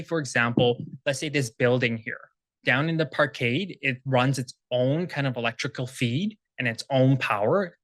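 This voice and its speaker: A man answers a question from the floor at a panel, laying out technical details in quick, fluent run-on sentences. His voice sits mid-range and sounds dry and close, with little room sound. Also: medium pitch, a bit bright, dry recording. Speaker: startup executive presenting. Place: conference room with audience.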